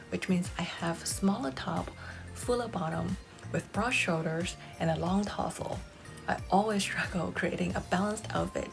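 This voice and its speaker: Woman vlogger in her forties, Cantonese native, measured and careful, slight fry, music bed under her. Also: lowish voice. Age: 40s. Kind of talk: scripted personal vlog.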